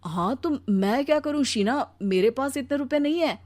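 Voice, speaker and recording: A woman's voice: high voice, lively intonation, normal speed, a little mellow, no echo. A woman voicing a weary, put-upon young Indian husband in a cartoon story, plaintive and pleading. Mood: reluctant. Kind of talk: Hindi cartoon story dialogue.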